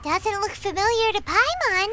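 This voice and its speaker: A woman voicing a tiny, childlike girl fairy companion in a video game, squeaky and excitable. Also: normal speed, background noise. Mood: wary, puzzled. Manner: squeaky, excitable, refers to self in third person. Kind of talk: scripted video game acting.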